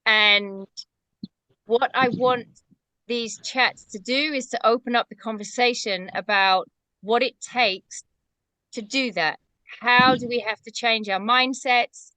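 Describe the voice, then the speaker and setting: A woman hosting a video-call chat, her voice bright and smooth and a little high. She speaks in a deliberate, welcoming way, with dry, close sound. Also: no echo, rough recording. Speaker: host.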